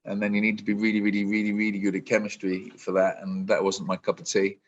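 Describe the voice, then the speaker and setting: A man in his forties with a fairly low voice talks about his work in a loose, rambling way, often restarting his sentences. The audio is dull and lo-fi, as if from a video call. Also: monotone, quick pace. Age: 40s. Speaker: business coach. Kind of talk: casual interview answers.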